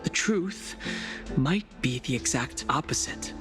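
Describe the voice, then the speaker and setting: An earnest, thoughtful young man in a game, talking things through in a calm, explaining way at a mid pitch. Game music runs underneath. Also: slow pace.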